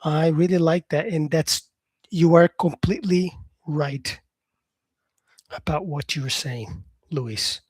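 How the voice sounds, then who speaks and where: A man's voice: on the high side, slow pace, pauses often, breathy edge, no echo. A man whose first language is Portuguese, an English teacher chatting on a live stream and answering viewer comments.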